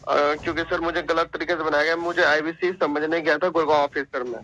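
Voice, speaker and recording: Male phone complainant, high and forceful voice, repeats himself haltingly, very respectful in address. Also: fairly even intonation, a little hiss or hum. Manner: deferential, repetitive, halting. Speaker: caller making a complaint. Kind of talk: phone complaint, recounting an account. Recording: recorded phone call.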